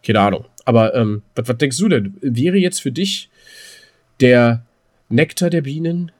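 A man's voice: mid-range voice, lively intonation, unhurried. German man chatting as podcast co-host. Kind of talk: casual podcast banter.

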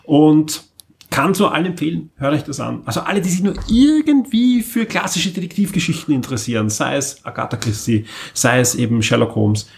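A man's voice: fairly high pitch, big pitch swings, brisk, vocal fry. A man co-hosting a podcast in casual chat, wordy and enthusiastic, piling up emphasis in long monologues. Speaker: podcast co-host.

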